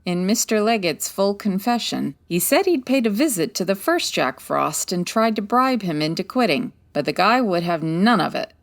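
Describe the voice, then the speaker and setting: A woman's voice: medium pitch, sing-song, moderate pace, hardly any pauses. A woman playing a poised, wry 1940s private detective in a comedy audio drama, articulate and dry-witted. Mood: composed, explaining.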